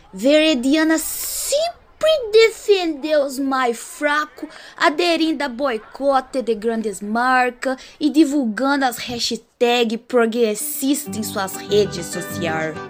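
Woman acting a slow, folksy Brazilian storyteller. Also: on the high side. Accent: rural Brazilian. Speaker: narrator. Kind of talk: theatrical comic radio soap narration.

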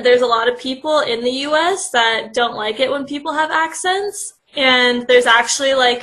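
A young woman from California talks in a relaxed, chatty way, her Californian accent plain in every phrase. Her voice sits high and has a sharp, forceful brightness. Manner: chatty, many "like" fillers. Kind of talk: casual discussion.

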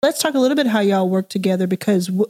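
Brief: woman podcast host, mellow tone, chatty, easygoing questions. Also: mid-range voice, animated, quick pace. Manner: chatty, laughing, loose phrasing. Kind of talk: casual interview hosting.

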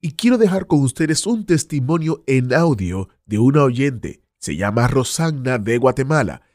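Lively male radio program announcer. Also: medium pitch, normal speed, no room sound, clean studio sound.

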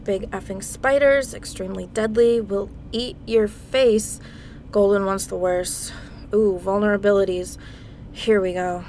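A woman's voice: mid-range voice, steady tone, darkish tone, slight background noise, lightly acted. A woman playing an irritable, skeptical adventurer heroine in a comedic video game parody, exasperated and sarcastic. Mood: wry, muttering. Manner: exasperated, drawn-out sarcastic complaining.

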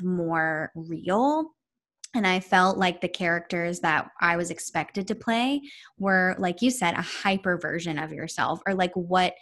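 A woman co-hosting a podcast, eagerly agreeing and relating her own experience as an actor. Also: lowish voice. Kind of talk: casual podcast chat.

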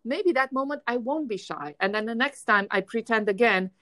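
Woman host, Russian-sounding accent, emphatic and lively, dry close mic. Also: fairly high pitch, moderate pace, no echo. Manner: energetic, emphatic, repeats words when starting. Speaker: podcast host. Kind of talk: casual conversation.

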